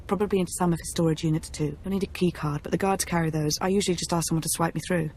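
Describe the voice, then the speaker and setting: An actress playing a flippant, spoiled young rich daughter in a video game, sassy and casual. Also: female voice, on the low side, steady tone, rapid-fire, a little mellow, a little hiss or hum, close to an everyday voice. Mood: nervous, resigned. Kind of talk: video game dialogue acting.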